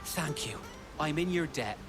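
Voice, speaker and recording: A man's voice: on the high side, animated, unhurried, music in the background. An actor playing a worried, plain-spoken villager in a video game cutscene, resigned about the old man in his care.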